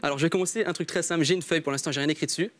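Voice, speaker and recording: A man from Alsace performs a magic trick, walking his guest through each step with confidence. He talks fast in a slightly high voice, and his speech has an unusual accent picked up from a hard-of-hearing brother. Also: steady tone. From Alsace, France. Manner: confident, gives clear step-by-step instructions. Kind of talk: casual chat, performing a trick.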